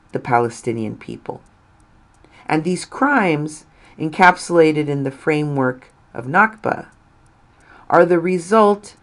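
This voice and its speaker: A woman narrating a written article in a deliberate, measured way, stressing phrases and letting endings fall. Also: low-pitched.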